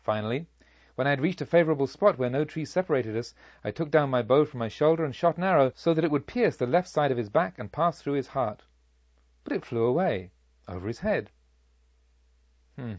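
A man playing a proud, vengeful robber chief who tells his own tale in measured, formal storytelling. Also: medium pitch, animated, a little mellow, dry recording, muffled recording, natural voice. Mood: scornful, resolute. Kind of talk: audiobook story narration in character.

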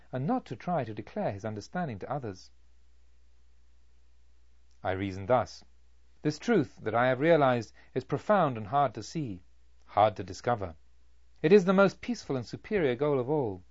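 A man reading literary narration as an audiobook, in a measured, solemn storytelling way with deliberate phrasing. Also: mid-range voice, a little mellow, no room sound, dull audio. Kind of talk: scripted literary narration of a Buddhist novel.

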